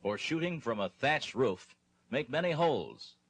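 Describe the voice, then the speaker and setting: A man with a husky voice narrating a training film in a scripted, instructional style. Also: medium pitch, sing-song, unhurried.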